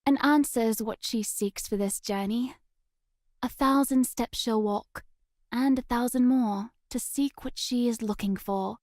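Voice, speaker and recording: A woman's voice: fairly high pitch, measured pace, a little mellow, no room sound, studio quality, lightly acted. A woman voicing a dreamy, curious young wandering witch in a visual novel, with a musing, theatrical delivery.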